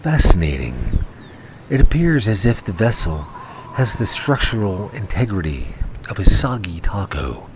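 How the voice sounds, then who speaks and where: A man's voice: low-pitched, big pitch swings, measured pace. A man playing an analytical, detached science officer who speaks formally and precisely in a sci-fi comedy audio drama. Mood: fascinated. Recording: noisy hobbyist recording.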